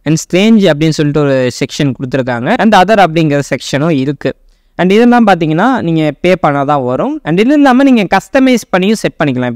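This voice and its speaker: High-pitched, quick-talking young Indian Tamil man. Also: clear, resonant tone, no room sound, studio quality. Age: young adult. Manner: informal explainer, mixing in English words. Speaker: tech review YouTuber. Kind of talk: software tutorial narration.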